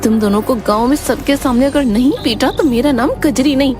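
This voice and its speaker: A woman playing a fierce, sharp-tongued village wife in a cartoon, scolding and combative. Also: high-pitched, brisk, music in the background, lightly acted. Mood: angry. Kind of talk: cartoon voice acting.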